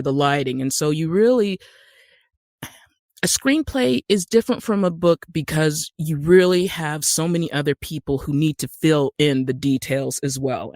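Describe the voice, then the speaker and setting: An American man speaking conversationally as an interview guest, telling stories in an animated way. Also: on the high side, no room sound, clean studio sound. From Utah, United States. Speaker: podcast guest, actor and screenwriter.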